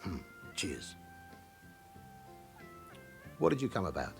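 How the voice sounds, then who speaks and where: An actor playing a slyly genial London club owner with criminal ties in a radio drama, teasing and ingratiating. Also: male voice, deep voice, wildly swooping pitch, takes it slowly, creaky, faint music behind, heavily acted. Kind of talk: naturalistic radio drama acting.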